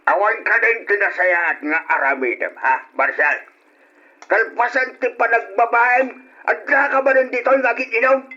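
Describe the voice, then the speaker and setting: A man's voice: very high-pitched, unhurried, pushed and bright, heavily acted. An actor playing a scolding, meddling elderly father-in-law in an old radio drama, indignant and reproachful. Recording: dull lo-fi radio drama recording.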